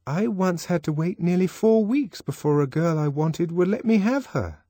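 A man's voice: sits high, expressive, moderate pace, dark tone, no echo, clean studio sound, voice pushed up. A man voicing a hesitant boy answering his teacher. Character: hesitant schoolboy.

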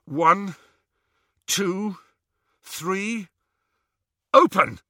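A man's voice: high-pitched, extremely slow, pushed and bright, no echo, clean studio sound, voice pushed up, exaggerated voice. A man voicing a determined teenage boy hero in an audiobook, urgent and commanding. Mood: urgent, shouting.

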